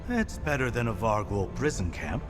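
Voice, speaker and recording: An actor playing a genial, loyal male craftsman and mentor with a formal, expressive manner in a video game cutscene. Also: fairly low pitch, normal speed. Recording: hall reverb, music behind.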